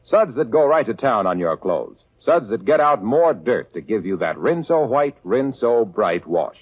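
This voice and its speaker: A man's voice: medium pitch, breathy edge. A man announcing in 1940s radio style, with emphatic, folksy salesman patter. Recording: very lo-fi old radio recording.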